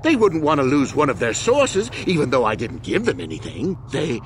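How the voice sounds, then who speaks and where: An actor playing a proud, defensive war veteran on trial in a video game, speaking earnestly and emphatically. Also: male voice, on the high side, big pitch swings, normal speed, a little hiss or hum. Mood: uneasy, defensive. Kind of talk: dramatic video game voice acting.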